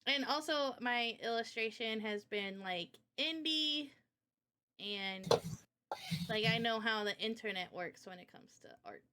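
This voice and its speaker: A woman's voice: fairly high pitch, lively intonation, a little slow, pushed and bright, a little hiss or hum. A woman guesting on a livestream as an illustrator and game developer, talking casually into her mic.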